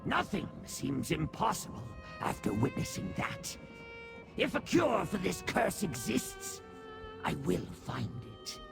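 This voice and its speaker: A man's voice: high-pitched, huge pitch swings, takes it slowly, creaky, over music, exaggerated voice. A man voicing a tiny cursed whiskered creature in a video game, a bloodthirsty and devoted servant who speaks in pompous, theatrical, formal phrases. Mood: resolute, hopeful. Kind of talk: video game character acting.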